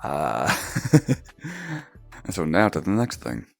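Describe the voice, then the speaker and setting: A man's voice: extremely low pitch, very animated, slow pace, creaky, darkish tone, faint music behind. A young man, just woken up and groggy, casually telling a personal story as a vlogger, laughing often and restarting his words. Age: young adult.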